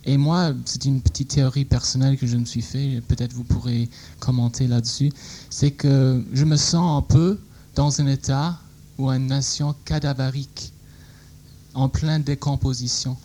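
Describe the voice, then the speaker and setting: Man, mellow, hesitant. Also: medium pitch, fairly even intonation, normal speed. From Vancouver, Canada. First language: English. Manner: hesitant, many fillers like "disons". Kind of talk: audience question at a talk.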